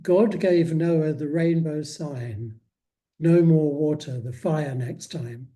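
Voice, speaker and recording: A man speaking as an interviewed author, in a reflective, conversational way with measured, hesitant phrasing. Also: a little high, dark tone.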